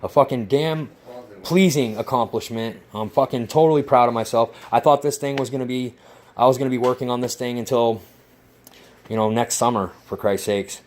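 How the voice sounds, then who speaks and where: A man vlogging casually about his model plane build, rambling and swearing with excitement. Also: mid-range voice, moderate pace. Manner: rambling, profane, enthusiastic. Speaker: RC hobby vlogger. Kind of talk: casual workshop vlog.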